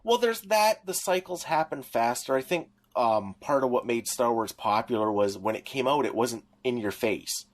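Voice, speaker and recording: A man's voice: medium pitch, expressive, moderate pace, few pauses. A middle-aged man co-hosting a podcast in casual conversation, with a wry manner. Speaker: podcast co-host.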